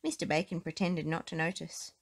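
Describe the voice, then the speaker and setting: A woman reading a children's story aloud as narrator, in an expressive, deliberate storytelling manner. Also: deep voice. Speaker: storybook reader.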